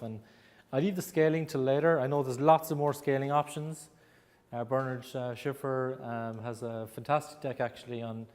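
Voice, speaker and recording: A man's voice: mid-range voice, darkish tone, in a large hall. A middle-aged Irish man gives a conversational talk to a small audience as a speaker on agile coaching, with plenty of fillers. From Ireland. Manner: conversational, self-deprecating, many fillers. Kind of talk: conference talk. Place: meeting room in an office.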